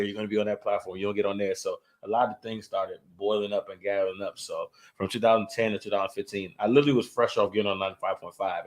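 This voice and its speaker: A man in his thirties, a musician guest in a casual interview, laid-back, laughing often and repeating phrases. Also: lowish voice. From Silver Spring, Maryland.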